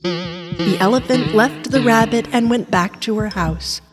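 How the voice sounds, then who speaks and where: A woman's voice: mid-range voice, measured pace, over music. A woman narrating a scripted children's bedtime story as storyteller.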